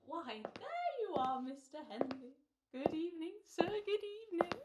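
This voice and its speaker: Old gentleman salesman for radio horror comedy, played by a woman: very high, animated, polite, rambling. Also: normal speed, very noisy. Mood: genial, knowing. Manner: rambling, courteous, folksy patter.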